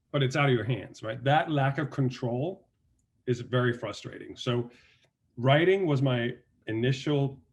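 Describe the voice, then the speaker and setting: A man from Denmark with a Danish accent, a guest actor telling stories in a relaxed interview, often restarting and filling his sentences. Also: mid-range voice. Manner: relaxed storytelling with many restarts and fillers. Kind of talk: casual interview talk.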